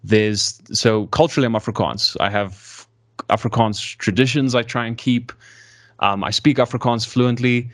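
A man's voice: mid-range voice, fairly even intonation, normal speed, dry recording. A man in his twenties from South Africa, speaking thoughtfully as an interview guest and often correcting himself mid-sentence. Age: 20s. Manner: reflective, thoughtful, with frequent self-corrections. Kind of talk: casual conversational interview.

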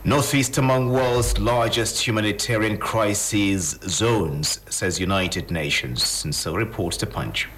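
A man with a Nigerian accent formally and deliberately reading newspaper headlines as a news presenter. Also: fairly low pitch, fairly bright tone.

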